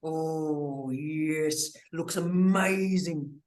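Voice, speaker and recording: A woman's voice: low-pitched, extremely slow, darkish tone. A woman hosting online academic office hours, witty and opinionated, with theatrical asides, over a video call. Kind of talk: lively, opinionated casual chat. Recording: roomy echo on a video call.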